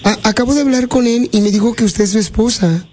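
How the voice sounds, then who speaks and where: A man with a Mexican Spanish accent hosting a radio prank call, talking in a deadpan, mock-official way. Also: high-pitched, quick pace, a little hiss or hum. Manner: deadpan, feigning formal officialese. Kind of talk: prank call and studio banter.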